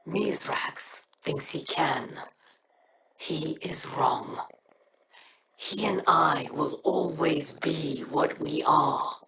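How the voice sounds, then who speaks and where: A stern alien leader speaks gravely and slowly in a low voice. The game line sounds distant with a clear layer of noise. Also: female voice. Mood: solemn. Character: stern alien house leader, cold and solemn.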